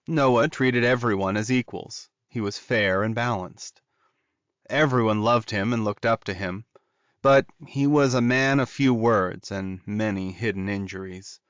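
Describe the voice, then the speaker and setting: A man's voice: lowish voice, a bit bright, no room sound. Man narrating an audiobook, dry, slightly dull sound. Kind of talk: scripted dramatic story narration.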